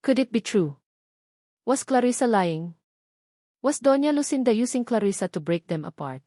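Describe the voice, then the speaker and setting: A woman's voice: a little high, animated, normal speed, warm tone, no room sound. Dry-sounding Filipino woman narrating romance. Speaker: audiobook narrator. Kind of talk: dramatic scripted romance narration.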